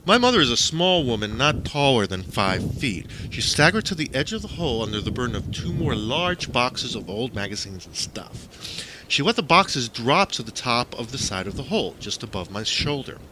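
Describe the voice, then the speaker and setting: Male narrator, swooping expressive intonation, first-person audiobook storytelling, surreal tales, noticeable background noise and clicks. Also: medium pitch, normal speed. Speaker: narrator reading own dream stories.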